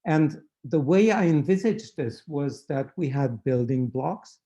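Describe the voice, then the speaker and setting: A man's voice: a little high, lively intonation, measured pace, warm tone, muffled recording. A middle-aged man from Romania, giving a scientific lecture and checking in with "right?" as he explains. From Romania (Transylvania).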